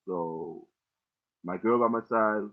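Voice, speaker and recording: Low-voiced young man on tinny audio. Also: slow pace. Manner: loose, rambling, heavy casual profanity. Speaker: rapper explaining his lyrics. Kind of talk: casual line-by-line lyric breakdown.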